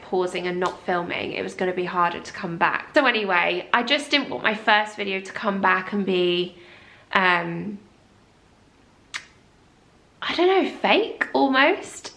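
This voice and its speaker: Chatty woman, bright and gravelly. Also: mid-range voice. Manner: chatty, rambling, apologetic. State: congested with a cold. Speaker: lifestyle vlogger. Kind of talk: casual chat to camera.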